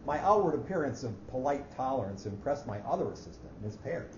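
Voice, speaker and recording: A man's voice: mid-range voice, sing-song, quick pace, lightly acted. A man playing a fussy, precise office clerk who coolly plots murder, speaking formally in a staged story reading. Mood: aggrieved. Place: echoey hall at a live reading. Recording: muffled, noisy, echoey hall recording.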